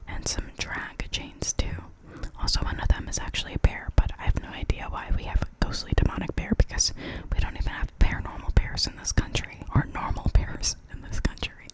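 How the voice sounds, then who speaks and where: An ASMR storyteller reading spooky local legends in a soft, unvoiced whisper, now and then stumbling and correcting themself. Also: poor audio. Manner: soft unvoiced whisper throughout, with small slips and self-corrections.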